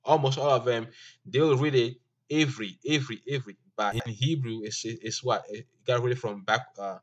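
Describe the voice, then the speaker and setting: A man whose first language is Ewe, giving an informal language lesson in a slow, halting way with many fillers and restarts. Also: medium pitch, fairly even intonation. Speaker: teacher. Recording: dull lo-fi recording.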